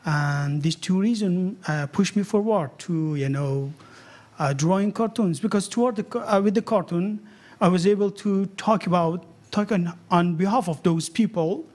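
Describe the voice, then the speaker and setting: A man in his thirties from Afghanistan, speaking English as a with many pauses and fillers, interviewed on stage about his cartoons. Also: high voice, a little mellow. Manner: hesitant non-native English with many fillers. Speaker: cartoonist guest. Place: event hall on stage. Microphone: handheld mic held loosely.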